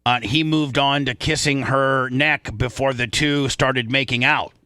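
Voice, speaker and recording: A man's voice: medium pitch, fairly even intonation, measured pace, bright, forceful tone, clean tone, no echo. An American man hosting a comic talk radio show, bantering and reading news aloud, often stumbling and repeating words.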